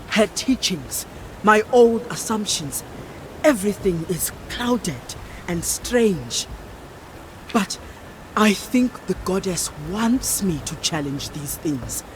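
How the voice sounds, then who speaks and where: A woman's voice: mid-range voice, a little hiss or hum, low-quality recording. A woman playing a resolute, devout priestess in a video game, speaking in solemn, emphatic declarations. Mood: solemn, searching. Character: resolute, devout priestess champion defying her elders. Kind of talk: dramatic video game acting. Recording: music behind the voice.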